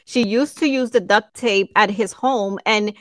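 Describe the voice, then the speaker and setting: A woman's voice: mid-range voice, unhurried, fairly bright tone, dry recording, clean studio sound. A woman whose first language is Spanish, co-hosting a casual podcast, frank and opinionated as she teases her co-host. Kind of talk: casual chat.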